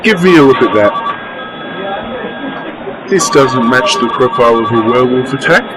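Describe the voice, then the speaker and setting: Mid-pitched, businesslike man acting a police detective. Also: animated, normal speed, loud music behind the voice, lightly acted, low-quality recording. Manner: matter-of-fact, official. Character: brisk, practical male police detective. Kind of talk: radio play acting.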